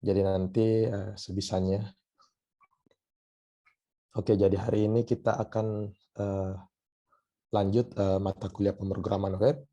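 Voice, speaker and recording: A hoarse man lecturing a university class over an online call, his voice a little low and dark, with a dull lo-fi sound. He is coughing a bit and often stalls on filler sounds as he explains. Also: level tone, measured pace, long pauses. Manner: hesitant, many filler sounds.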